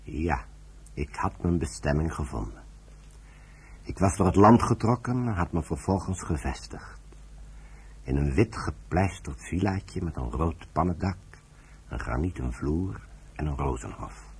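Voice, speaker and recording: Casting: stubborn older retired general, dry irony, very husky, widely swinging intonation, radio drama. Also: male voice, extremely low pitch, expressive, a little slow, pauses often, full character voice. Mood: reflective. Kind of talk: Dutch radio play acting, narrating and dialogue.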